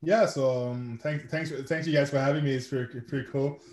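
A man's voice: mid-range voice, fairly even intonation, normal speed, darkish tone, echoey room. A man from Quebec City with a Québécois French accent, French as his first language, talking casually as an interview guest with many restarts. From Quebec City, Canada. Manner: rambling, many restarts and fillers. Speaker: guest, sport founder and coach.

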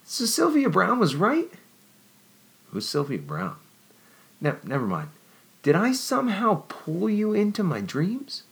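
A man's voice: wildly swooping pitch, a little slow, exaggerated voice. A man putting on a breathless young woman. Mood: wonderstruck. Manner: high, breathless, stammering. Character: excitable young woman, wide-eyed and dreamy.

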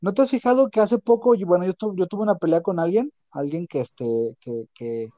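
A middle-aged man with a Jalisco Mexican accent, chatting casually as a co-host. He tells stories with lots of energy and coarse slang. His voice sits a little high and sounds warm and mellow. The recording is close and dry. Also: moderate pace, dry recording. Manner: animated storyteller who acts out quotes, with crude slang. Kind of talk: casual chat.

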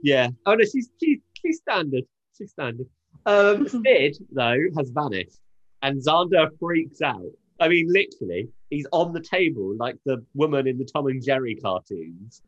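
A man's voice: medium pitch, very animated, normal speed, highs cut off. Rambling man in a casual chat. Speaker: podcast co-host recapping a TV show. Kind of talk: casual chat, episode recap.

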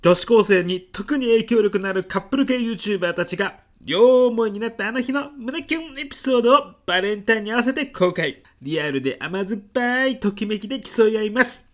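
A man's voice: fairly quick, hardly any pauses. A man acts out a middle-aged male office manager in a comedic radio drama. He speaks in polite business language with an earnest, theatrical delivery. His presenting style borrows the girlish manner of a young woman. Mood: gushing, dreamy. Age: 50s. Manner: polite business speech, theatrically expressive pitching. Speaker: ad agency department manager giving a presentation.

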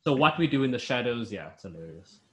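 A man's voice: medium pitch, sing-song, quick pace, a bit bright, slight background noise. A young man chatting casually as a podcast co-host, rambling with restarts. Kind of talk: casual chat. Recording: echoey room.

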